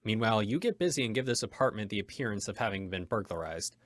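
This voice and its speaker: A man acting a calm, decisive hero giving instructions in an old-time radio crime drama. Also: on the low side, fast talker. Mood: composed, purposeful.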